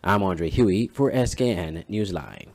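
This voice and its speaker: A man's voice: low-pitched, very animated, unhurried, vocal fry, heavy background noise. A man with a Caribbean accent reading scripted news narration as a reporter.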